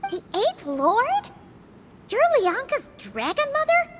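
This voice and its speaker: A woman voicing a small, excitable, childlike companion sprite in a video game, with a squeaky, expressive, friendly delivery. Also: slow, slight background noise, full character voice. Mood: surprised. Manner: expressive, colloquial, plucky. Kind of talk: video game character acting. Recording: muffled audio under background music.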